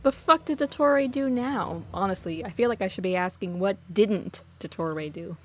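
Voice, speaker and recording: Female gaming streamer, mid-pitched, sweary and snarky, chatting casually over faint game noise. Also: expressive, normal speed. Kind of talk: casual let's play commentary.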